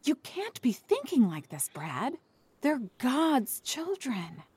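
A woman's voice: high voice, wildly swooping pitch, slow pace, darkish tone, breathy edge, heavily acted. A woman acting in an audio play as an anxious, pious wife with an earnest, prim, politely apologetic manner. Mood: alarmed.

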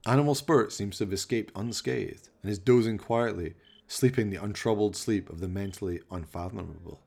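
A man's voice: fairly low pitch, fairly quick, creaky, a little mellow. A man narrating a radio play as dramatic scripted storytelling.

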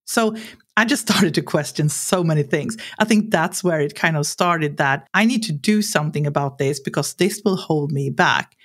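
A woman's voice: sits low, moderate pace. A woman in her forties from Sweden with a Swedish accent, speaking English as a second language as a podcast guest, telling personal stories candidly and acting out what people said. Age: 40s. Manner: animated, acts out quoted lines, laughs while talking. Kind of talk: candid conversational storytelling.

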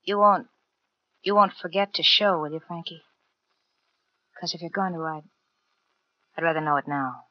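An actress playing a crook's anxious, clinging accomplice in a 1940s radio drama, hesitant and pleading. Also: female voice, mid-range voice, lively intonation, fast talker, no room sound, lightly acted. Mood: fearful. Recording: dry, dull vintage radio recording.

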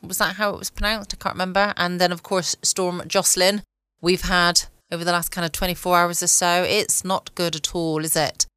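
An English woman chatting informally and cosily as the host of a relaxed bedtime podcast. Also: lowish voice, fairly quick, smooth, no echo. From United Kingdom. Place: at home, indoors.

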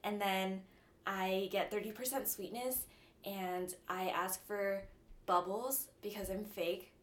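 A woman's voice: medium pitch, steady tone, takes it slowly. A young woman from Southern California co-hosting a casual college radio podcast. Her talk is chatty and self-mocking, full of giggly asides and dry little jokes. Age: young adult. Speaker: podcast co-host.

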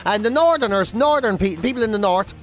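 Jokey, self-mocking Irish morning radio co-host; high, nasal and a touch lispy, faint music under him. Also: male voice, expressive, brisk. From Ireland. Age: 40s. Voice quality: nasal, slightly lispy from a mouth ulcer. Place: radio studio. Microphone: studio mic.

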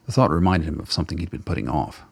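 A man with a gravelly, husky voice reading scripted narration for a radio play. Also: rumbling low, expressive, very fast, dry recording.

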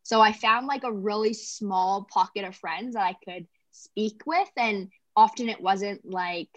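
A woman's voice: mid-range voice, measured pace, no room sound. A young woman from Canada, chatting casually in an interview and often saying "like". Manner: chatty, lots of "like" fillers.

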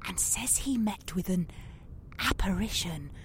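A well-bred young Victorian woman, played by an actress speaks in a British accent with a mid-range voice. She is self-conscious, polite and a little hesitant, in formal audio drama style, over faint rumbling noise. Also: expressive, unhurried, lightly acted. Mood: embarrassed, earnest. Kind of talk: audio drama acting in period English.

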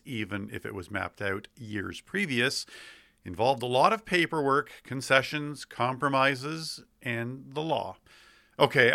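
A man hosts a local interview at a studio table. His voice carries a gravelly, creaky edge. He talks in a chatty way, thinking aloud with little asides. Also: medium pitch, sharp tone. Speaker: podcast host. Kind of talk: casual interview.

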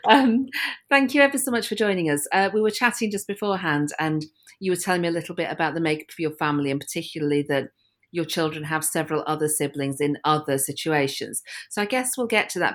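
A woman, hosting a podcast interview in a chatty, friendly way and laughing often. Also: on the low side. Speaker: podcast host. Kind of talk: casual interview.

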